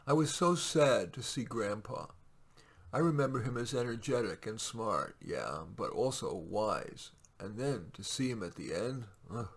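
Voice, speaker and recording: A man with a raspy, gravelly voice reads his own short stories aloud in a measured, expressive way, with casual asides. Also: medium pitch.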